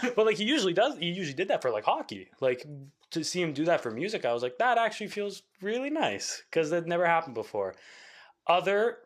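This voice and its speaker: A young man, a musician from Canada, talking casually in an interview, rambling with lots of fillers and quick laughs. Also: high-pitched, big pitch swings. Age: young adult. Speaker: musician guest.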